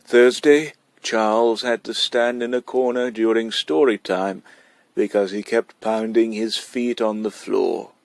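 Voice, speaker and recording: A man's voice: fairly low pitch, fairly even intonation, unhurried, gravelly, dry recording. A man narrating a short story from a script as a reader.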